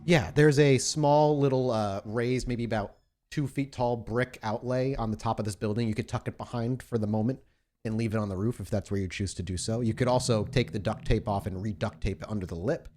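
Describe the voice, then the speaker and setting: A man narrating a tabletop horror role-playing game as game master, setting scenes in descriptive, deliberate detail. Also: medium pitch, steady tone, a little mellow. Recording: music playing under the voice. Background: music.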